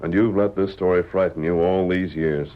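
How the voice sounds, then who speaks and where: An actor playing a righteous masked frontier hero, speaking American English in a firm, measured, authoritative way in a radio western. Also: male voice, sits low, fairly even intonation, lightly acted. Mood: stern, probing. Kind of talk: old-time radio western acting. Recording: muffled vintage radio drama.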